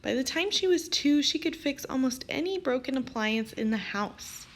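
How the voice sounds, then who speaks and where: Female storybook narrator, higher-pitched voice, lively and expressive delivery for young listeners. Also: moderate pace, a little hiss or hum. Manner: expressive, animated storytelling with acted character lines. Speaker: storybook reader. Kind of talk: children's picture book read-aloud.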